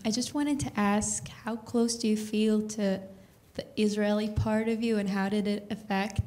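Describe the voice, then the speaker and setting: A woman interviewer posing a question in a level, even manner. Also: medium pitch, moderate pace.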